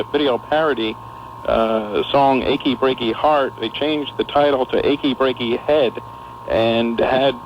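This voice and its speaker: A man phones in to a talk radio show, his mid-pitched voice gravelly and full of fry as he hesitates and restarts his sentences. It comes through muffled AM radio audio with a light hiss. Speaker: radio caller.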